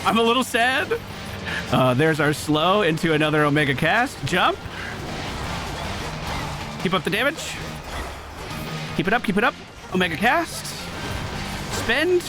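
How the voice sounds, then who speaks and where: A man's voice: high voice, big pitch swings, measured pace, pauses often. A male gaming streamer giving casual live commentary, chatting and thinking aloud as he plays. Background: game music.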